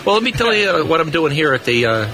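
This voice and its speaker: A man's voice: a little high, sing-song, fast. A middle-aged or older man, an engineer, chatting casually as a guest in a live radio interview. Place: convention hall booth. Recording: noisy live event floor broadcast. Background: busy convention floor noise.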